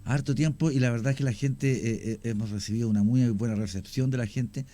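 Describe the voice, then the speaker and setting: A man, a radio guest explaining his cemetery work at length, often pausing with fillers. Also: medium pitch, steady tone, warm tone. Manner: explanatory, hesitant with many fillers. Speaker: guest, cemetery tour creator. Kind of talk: radio interview.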